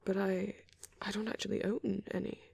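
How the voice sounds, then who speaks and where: A shy young vampire boyfriend, as acted by an ASMR performer, murmurs close and dry. The voice is breathy and often drops to a whisper, with a gentle crackle of fry. He rambles softly and haltingly, as if musing to a partner on a date. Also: mid-range voice, level tone, no echo. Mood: fondly nostalgic. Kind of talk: ASMR roleplay, soft rambling.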